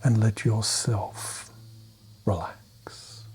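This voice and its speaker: Male meditation narrator: hushed, half-whispered, gentle delivery on a steady, flat line, dark relaxed tone, short phrases with long pauses. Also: on the low side. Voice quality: soft, breathy, half-whispered. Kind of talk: guided meditation, soft-spoken hypnotic suggestion.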